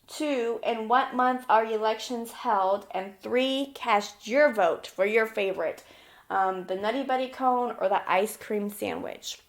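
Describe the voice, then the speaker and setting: A woman with a slightly high voice speaks as an elementary school teacher. She reads aloud for young children and explains in simple, instructional terms, with frequent little check-ins. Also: measured pace. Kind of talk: children's read-aloud lesson with questions.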